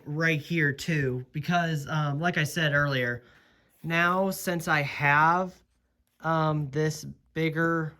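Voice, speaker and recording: A young man chats through a casual vlog at an unhurried, drawn-out pace. He is losing his voice, so it sounds slightly worn, and he pauses often with ums and uhs as he thinks aloud. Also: fairly high pitch, bright, forceful tone. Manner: slow, hesitant, full of ums and uhs. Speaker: host.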